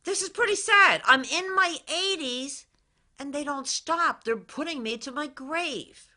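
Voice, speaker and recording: A woman's voice: sits high, expressive, measured pace, sharp tone, voice pushed up, heavily acted. A woman putting on a wailing woman in her eighties. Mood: distressed pleading. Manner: pleading, wailing. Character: frail distressed caller in her eighties, pleading.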